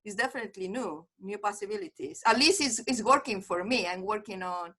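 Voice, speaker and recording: A woman's voice: mid-range voice, fairly quick, a crowd in the background. Earnest woman with a mid-range voice; video-call chat. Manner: earnest, searching for words. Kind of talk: casual group video call.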